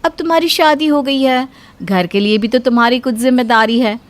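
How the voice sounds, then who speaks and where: A woman's voice: fairly high pitch, brisk, natural voice. A woman playing a jealous, spiteful housewife in a cartoon moral story, sarcastic, bossy and full of complaints. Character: spiteful, jealous elder sister-in-law, grumbling housewife.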